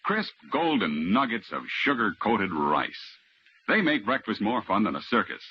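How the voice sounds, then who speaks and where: Male American commercial announcer, husky and hugely expressive, enthusiastic old-time radio pitch, dull vintage recording. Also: measured pace. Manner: folksy, enthusiastic sales pitch. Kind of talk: vintage radio cereal advertisement.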